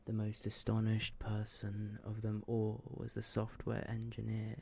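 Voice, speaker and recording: Hushed, gravelly man reading ASMR horror. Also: on the low side, monotone, slight background noise. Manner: hushed, slow and deliberate, often dropping into whisper. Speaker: ASMR video creator and host. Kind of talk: ASMR, soft-spoken reading of short horror stories.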